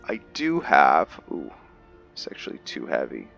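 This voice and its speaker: A man's voice: very deep voice, huge pitch swings, unhurried, gravelly, fairly bright tone, highs cut off. A man giving casual gameplay commentary, thinking aloud hesitantly and chatting to his viewers. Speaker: host of a gameplay roleplay series. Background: background music.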